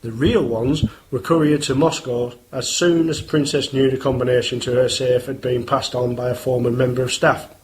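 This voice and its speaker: A man playing a firm, measured police inspector in an amateur radio play. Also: medium pitch, fairly even intonation, background noise, lightly acted. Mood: stern. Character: stern male police inspector.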